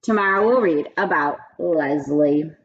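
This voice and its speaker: A woman reading aloud to children in an expressive, friendly storytelling style. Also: deep voice, measured pace, slightly rough, muffled recording. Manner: friendly, addressing children directly. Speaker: read-aloud teacher.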